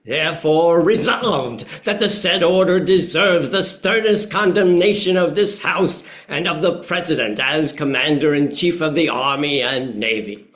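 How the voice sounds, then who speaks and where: A man plays a nineteenth-century American orator at a play reading. His voice sits a little high for a man, and he declaims formally and deliberately, as if every clause were for the record. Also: a bit bright. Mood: stern, formal. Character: indignant congressman and measured Reform rabbi, 19th-century American orators.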